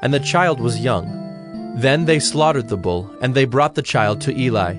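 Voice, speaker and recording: A man's voice: medium pitch, moderate pace, over music. A man narrating scripture from a script in a solemn style.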